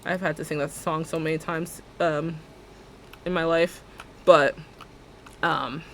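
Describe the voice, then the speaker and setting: A young woman with a deep alto voice, chatting casually and rambling as she streams a building game, laughing often and talking to her viewers. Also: fairly even intonation, takes it slowly, a bit bright, a little hiss or hum.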